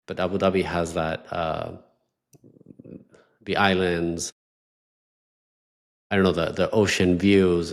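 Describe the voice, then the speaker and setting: Halting deep-voiced male podcast host. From Ecuador. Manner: halting, repeats words often. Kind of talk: casual interview talk.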